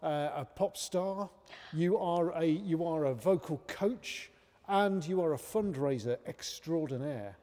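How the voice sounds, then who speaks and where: A man's voice: a little high, a little slow, dark tone. A man interviewing a car owner in a showroom, enthusiastic and full of praise, often restarting his sentences. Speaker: interviewer, presenter. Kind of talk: casual interview. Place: large car showroom, indoors. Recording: echoey showroom hall.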